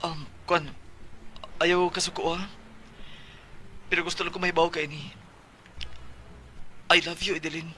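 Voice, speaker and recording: A bashful, lovestruck security guard in a Cebuano radio drama. His high-pitched male voice is hesitant and pleading, with a Cebuano accent, over faint noise. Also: flat delivery, measured pace, long pauses, sharp tone. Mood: pleading, tender. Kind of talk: Bisaya radio drama acting.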